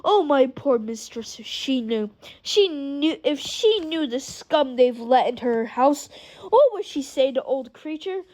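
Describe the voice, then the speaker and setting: A woman putting on a hoarse, muttering old house-elf. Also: animated, measured pace, a little mellow. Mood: bitter muttering. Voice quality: hoarse, deep, croaking. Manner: resentful muttering under the breath.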